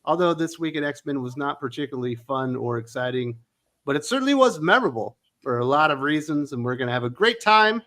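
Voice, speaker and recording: A man's voice: a little high, lively intonation, fairly bright tone. A man hosting a casual comic book talk show, teasing his guest and laughing easily. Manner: teasing, jokey, quick to laugh. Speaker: podcast host.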